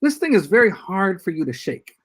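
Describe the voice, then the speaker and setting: An older man, a guest church leader, giving earnest and measured advice in a casual podcast discussion over a video call. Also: high-pitched, very animated, breathy edge.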